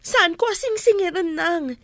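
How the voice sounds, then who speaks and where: Very high-pitched indignant eldest daughter acted by a woman. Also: unhurried, a bit bright, no room sound, clean studio sound, full character voice. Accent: northern Philippine. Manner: questioning, reproachful. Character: indignant, hard-working grown-up eldest daughter. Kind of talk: comedy radio drama acting.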